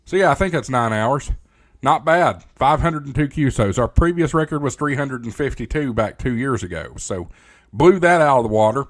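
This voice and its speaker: A man's voice: mid-range voice, lively intonation, moderate pace. A man hosting a ham radio channel, talking in a casual, folksy presenter style straight to his viewers.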